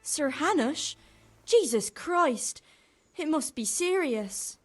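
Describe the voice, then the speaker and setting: A woman's voice: high voice, animated, slow, dry recording, soft background music. An actress playing a wary, protective working woman in a video game, speaking in a guarded, earnest way. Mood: alarmed. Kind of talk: video game cutscene acting. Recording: faint background ambience.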